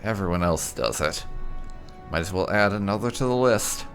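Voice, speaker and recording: A man's voice: deep voice, animated, normal speed. A man hosting a gaming video, wryly speaking his character's replies in a light roleplay commentary. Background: faint game music.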